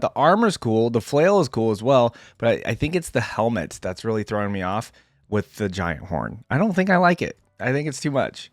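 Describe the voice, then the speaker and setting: A man's voice: lowish voice, dry recording, clean studio sound. A man giving casual, off-the-cuff reaction commentary as a video host, rambling informally as he thinks aloud.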